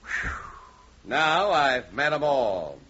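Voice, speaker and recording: A man's voice: mid-range voice, sing-song, slow. A man acting a reluctant, flustered barbershop customer in a 1940s radio comedy, hesitant and protesting. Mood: weary. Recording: muffled, faintly noisy 1940s radio recording with clicks.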